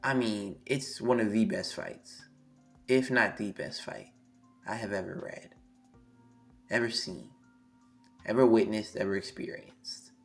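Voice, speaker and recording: A hoarse young man on a live stream, reading a manga chapter aloud and reacting excitably, swearing freely. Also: on the low side, measured pace, pauses often. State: hoarse, losing his voice. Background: faint music.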